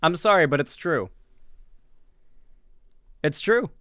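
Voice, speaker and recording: A man's voice: a little high, animated, slow pace, sharp tone, no echo, studio quality. A man with an American accent giving casual, opinionated reaction commentary, speaking emphatically and informally. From Florida, United States. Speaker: political commentary streamer.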